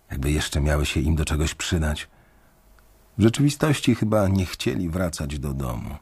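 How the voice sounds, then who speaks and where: An anxious, wry bank clerk telling his own story in a radio play. His voice is deep and husky, and the telling stays measured and dry. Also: exaggerated voice. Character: anxious, sardonic male bank employee facing layoff. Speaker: narrator and bank analyst. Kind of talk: first-person radio drama narration.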